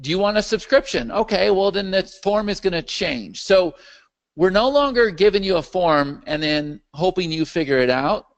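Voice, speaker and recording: A man hosting a live question-and-answer video call for his software's users. His voice is worn from a long day of talking, and he keeps checking that his listeners are following. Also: high-pitched, normal speed, highs cut off. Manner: animated, checks in with the audience often. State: losing his voice. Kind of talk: live webinar Q&A with screen share.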